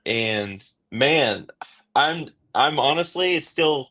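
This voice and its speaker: A man's voice: mid-range voice, expressive, slow, sharp tone. A man with an Oklahoma accent hosting a podcast, chatting casually and rambling with many fillers and restarts. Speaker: podcast host. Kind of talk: casual interview chat.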